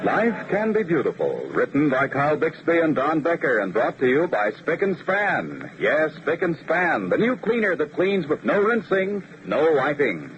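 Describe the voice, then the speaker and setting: Male vintage sponsor announcer, hugely expressive, fairly high, noisy broadcast sound. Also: measured pace, hardly any pauses, muffled recording. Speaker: radio announcer. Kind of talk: old radio sponsor pitch. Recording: noisy old radio recording.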